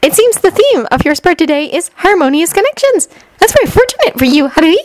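Very high-pitched, timid anime schoolgirl. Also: big pitch swings, quick pace, dry recording. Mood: earnest. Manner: pleading, earnest, easily flustered. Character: timid, excitable young schoolgirl fortune teller.

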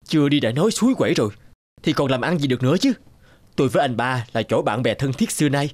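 A man voicing a trusting, eager peasant husband in an animated folk tale. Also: medium pitch, quick pace, no room sound, close to an everyday voice.

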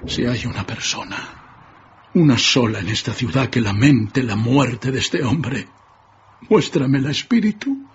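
An actor playing a frightened, repentant old miser in a radio drama, imploring and dramatic, with a gravelly voice. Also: male voice, mid-range voice, lively intonation, a little slow, a little hiss or hum, close to an everyday voice. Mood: fearful pleading. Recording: dull sound under clear music.

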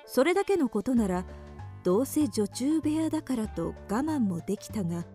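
A woman narrating a radio drama from a script in a measured, composed manner. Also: a little high, sing-song, mellow, faint music behind.

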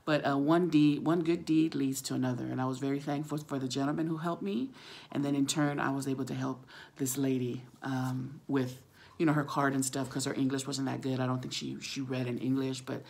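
A middle-aged woman with a husky, gravelly voice, chatting casually to camera and telling a story, acting out the dialogue as she goes. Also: rumbling low, steady tone, a little fast, darkish tone. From Samoan, living in California. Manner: chatty, acts out quoted dialogue. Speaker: vlogger. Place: small room at home, indoors. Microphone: phone at arm's length.

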